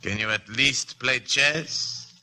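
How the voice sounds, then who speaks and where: An actor playing a supremely bored, cruel enemy general in a 1950 radio drama, clipped and disdainful. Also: male voice, mid-range voice, takes it slowly, pushed and bright, rough recording. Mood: coldly bored.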